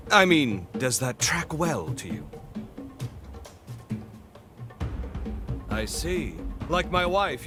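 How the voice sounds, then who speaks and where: A man playing a grandiose, eccentric, tea-loving gentleman in a video game, with a florid, pompous and theatrical way of talking. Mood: reflective. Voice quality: high-pitched, swooping delivery. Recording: music behind the voice. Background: clear background music.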